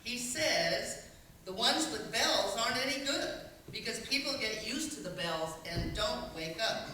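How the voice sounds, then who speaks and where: A woman's voice: a little high, expressive, measured pace, bright, forceful tone. A woman playing a matter-of-fact, chatty cow in a radio play, explaining things. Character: matter-of-fact female cow, farm animals' president. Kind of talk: stage radio-play acting. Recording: echoey hall, distant, faint noise.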